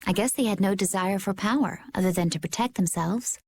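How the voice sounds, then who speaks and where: A woman playing a composed, knowing young adventurer in a game, explaining things calmly. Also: mid-range voice, brisk, breathy edge. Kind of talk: English game dub acting.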